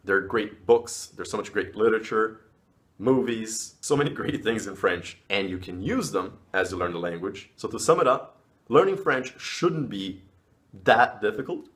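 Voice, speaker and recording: Upbeat man, lowish voice. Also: lively intonation, moderate pace, a bit bright. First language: Portuguese. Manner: upbeat and encouraging, pitch jumps up on key words. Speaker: language vlogger. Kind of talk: casual advice talk to camera.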